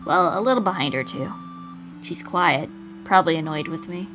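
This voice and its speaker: A woman's voice: fairly low pitch, moderate pace, music in the background, natural voice, rough recording. A woman reading as a brooding, dependent young man narrating a visual novel, introspective and earnest. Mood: regretful.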